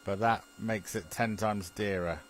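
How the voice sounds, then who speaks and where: Low-voiced British man chatting shop. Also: steady tone, a little slow. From Britain. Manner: hesitant, chuckling, repeats phrases. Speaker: amateur radio enthusiast. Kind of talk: casual technical chat.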